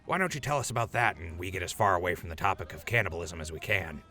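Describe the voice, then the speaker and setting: Man playing a deep, gravelly, wry wasteland wanderer. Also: expressive, quick pace, pushed and bright, soft background music, exaggerated voice. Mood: uneasy. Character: dry, wry, unfussy male wasteland crew member. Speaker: voice actor. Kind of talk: post-apocalyptic audio drama acting.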